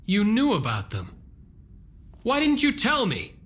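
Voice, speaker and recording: A man's voice: sits high, huge pitch swings, measured pace, fairly bright tone, in a large hall, exaggerated voice. A man playing a stern, earnest young vampire hunter in a video game cutscene, speaking in a demanding way. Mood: questioning.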